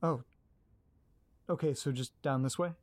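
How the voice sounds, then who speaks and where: A man's voice: medium pitch, unhurried, darkish tone, natural voice. A man playing a nervous, hesitant young man who apologizes and stumbles over his words in an audio drama. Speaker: lead character.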